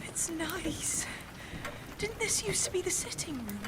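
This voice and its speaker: A woman's voice: very high-pitched, sing-song, measured pace, heavy background noise, heavily acted. A woman acting a fragile, nervous young woman in an audio drama. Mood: fragile, wistful. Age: young adult. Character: fragile, frightened young woman returning to an old love.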